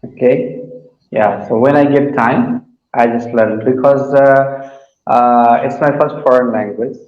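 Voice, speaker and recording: Slow-talking man on a roomy, dull call. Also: medium pitch, fairly even intonation. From India. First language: Maithili. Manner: slow, repeats words, hesitant fillers. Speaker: English learner. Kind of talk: casual video-call chat. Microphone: video call.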